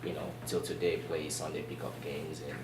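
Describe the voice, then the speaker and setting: A middle-aged man with a mixed Nigerian and British accent, telling his story as an interview guest in a relaxed, easygoing way, with many fillers. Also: rumbling low, normal speed, heavy background noise. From born in Boston, raised in Nigeria. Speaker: gym owner, guest. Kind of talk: casual interview. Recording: roomy distant sound in a gym.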